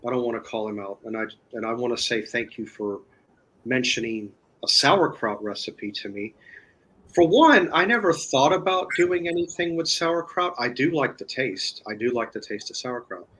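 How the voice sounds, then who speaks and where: A man speaking as a guest in a casual chat, explaining step by step in a halting way. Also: mid-range voice, expressive, fairly bright tone, rough recording. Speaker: guest, online video creator.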